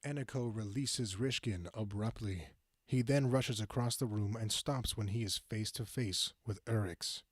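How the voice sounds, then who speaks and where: A man playing the composed narrator of a fantasy audio drama, measured and formal in scripted delivery. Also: lowish voice, dry recording, studio quality, natural voice.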